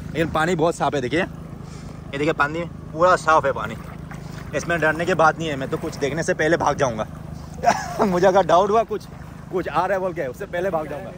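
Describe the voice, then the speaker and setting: A young man casually vlogging outdoors at a lake, excitedly pointing things out to viewers. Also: fairly high pitch. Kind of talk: casual vlog narration. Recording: noisy outdoor recording with rushing water.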